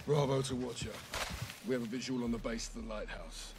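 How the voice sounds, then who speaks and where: An actor playing a seasoned, wry special forces captain who gives terse, commanding callouts in a military video game. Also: male voice, medium pitch, a little fast, background noise, lightly acted. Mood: hushed, focused. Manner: clipped, dry, commanding. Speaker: squad leader.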